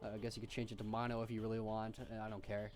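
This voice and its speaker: A man giving an informal, offhand software tutorial with slangy asides. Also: fairly low pitch, completely flat delivery, very fast, soft background music. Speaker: tutorial host. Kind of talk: casual software tutorial narration.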